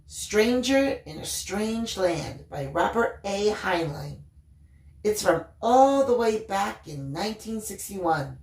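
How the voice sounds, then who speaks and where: Serene, mysterious young female bookworm, voiced by a woman reader; audiobook dialogue, expressive intonation, roomy sound. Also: mid-range voice, takes it slowly. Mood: curious, eager. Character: Michaela, serene enigmatic bookish young woman.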